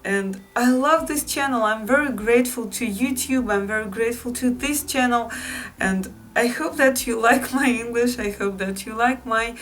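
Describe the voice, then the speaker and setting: Woman with a Russian accent, unhurried vlogging. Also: fairly high pitch, fairly bright tone, distant mic, a little hiss or hum. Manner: unhurried, repeats phrases, frequent fillers. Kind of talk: casual vlog to viewers.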